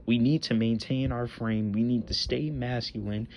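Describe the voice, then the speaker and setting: A man's voice: medium pitch, fairly even intonation, moderate pace, a little mellow, slight background noise, rough recording. A man in his twenties hosting a solo podcast, talking earnestly with slang, repeated phrases and many fillers. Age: 20s. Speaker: podcast host.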